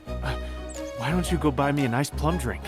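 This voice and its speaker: An actor playing a proud but self-doubting tinkerer in a video game, hesitant and easily flustered. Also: male voice, mid-range voice, moderate pace, music in the background, natural voice. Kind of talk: video game character acting.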